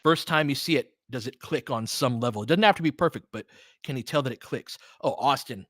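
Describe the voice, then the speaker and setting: A man's voice: mid-range voice, brisk, fairly bright tone, dry recording, studio quality. A man doing casual live basketball play-by-play and analysis, chatting informally with listeners and urging the players on like a fan. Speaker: host of a basketball film-room audio stream.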